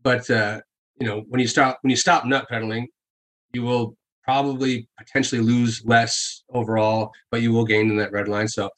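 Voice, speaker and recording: A man's voice: medium pitch, level tone, normal speed, fairly bright tone, poor audio. A man chatting casually on a podcast, telling stories with frequent laughs and swearing. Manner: storytelling, swears freely, laughs often.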